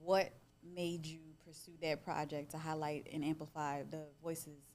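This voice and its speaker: A woman's voice: low-pitched, flat delivery, moderate pace, a little mellow. A woman hosting a live interview at an event, introducing and questioning her guest. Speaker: interviewer, host.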